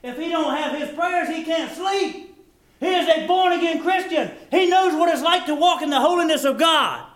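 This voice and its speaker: A man preaching a fervent, folksy evangelical sermon, swinging between shouts and hushed appeals. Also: extremely high pitch, normal speed. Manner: folksy, plain-spoken grammar with rhetorical questions.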